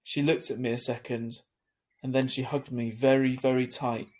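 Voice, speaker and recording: A man reading a children's novel aloud as a teacher would, in expressive scripted narration. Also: mid-range voice, normal speed.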